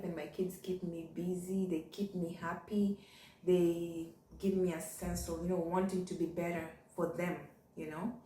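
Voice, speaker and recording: A woman's voice: lowish voice, darkish tone. Woman vlogging, candid and chatty, echoey room, sounds a bit far from the mic. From Kenya. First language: Ugandan. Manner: candid, repeats phrases, frequent "you know". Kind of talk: casual reflective monologue.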